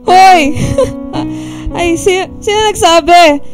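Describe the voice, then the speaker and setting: A woman's voice: very high voice, extremely slow, music in the background, heavily acted. A woman playing a coy, easily flustered young woman in a radio drama, with a teasing, exasperated way of talking. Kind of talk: Filipino radio drama acting.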